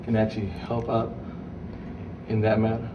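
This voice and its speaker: A man's voice: on the low side, monotone, normal speed, recorded from a distance, dull audio, slight background noise. A young man hosting a campus TV interview, asking long, rambling questions full of "I mean" fillers. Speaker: host. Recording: echoey room, voice off-mic, music behind.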